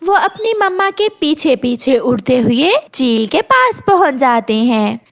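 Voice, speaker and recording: A woman narrates a children's tale from a script. Her voice is high and her pace is slow and measured. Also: sing-song, gravelly. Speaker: story narrator.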